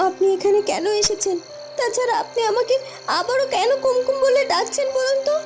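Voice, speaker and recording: A woman's voice: very high-pitched, a little fast. A woman voicing an anxious, polite young cartoon heroine who speaks plaintively and repeats words for emphasis. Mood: indignant. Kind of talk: Bengali fairy-tale cartoon dubbing. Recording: music behind the voice.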